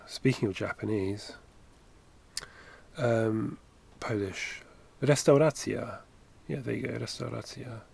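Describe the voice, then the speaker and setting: A man's voice: on the low side, slow pace, gravelly, darkish tone, husky. A man in his thirties chatting in a rambling, self-correcting way while drawing on a livestream, recorded on a headset at home. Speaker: animation streamer. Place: home studio, indoors.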